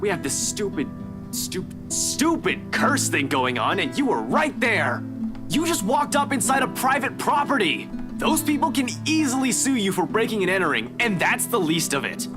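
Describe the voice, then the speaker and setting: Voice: mid-range voice, big pitch swings, moderate pace, natural voice. An actor voicing an exasperated, worried young man scolding a friend in a visual novel. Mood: angry, worried. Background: guitar music.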